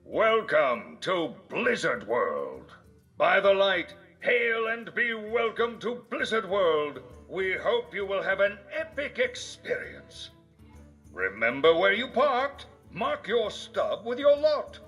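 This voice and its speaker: Grandiose, high-swooping paladin announcer. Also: male voice, big pitch swings, slow pace, a bit bright, faint music behind, full character voice. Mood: grand welcome. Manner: grandiose, hammy, courtly. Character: noble male paladin hamming it up as theme park announcer. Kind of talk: scripted game announcer voice lines.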